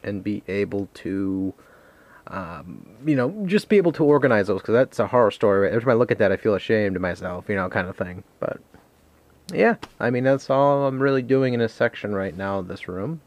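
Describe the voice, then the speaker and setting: A man with a fairly low voice talks off the cuff as a video game collector showing things at home. He rambles and hesitates, poking fun at himself as he goes, and the sound is dry with little room echo. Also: animated, brisk. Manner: rambling, hesitant, self-deprecating. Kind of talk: casual unscripted show-and-tell vlog.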